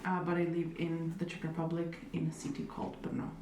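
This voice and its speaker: Woman speaking in a flat, echoey room. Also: low-pitched, fairly quick. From Italy. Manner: thoughtful, many hedges and fillers, wry humor. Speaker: interviewee. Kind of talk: reflective conversational interview. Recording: echoey room, distant mic, faint noise.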